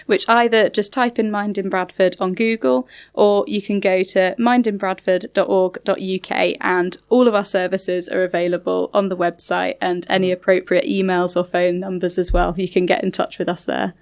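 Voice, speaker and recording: Woman, interview guest, upbeat, explanatory, conversational, mid-pitched voice. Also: level tone, normal speed, few pauses, smooth. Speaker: interview guest, mental health charity health engagement officer. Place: radio interview.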